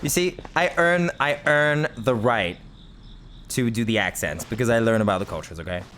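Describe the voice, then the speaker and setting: A man from Britain talking over his gameplay in a mid-pitched, easygoing voice. He rambles off on tangents, laughs at himself, and chats casually to his viewers. There is a faint hiss under the recording. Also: normal speed, a bit bright. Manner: rambling, chatty, often laughs, self-mocking. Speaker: gaming video host. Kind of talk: casual let's-play commentary.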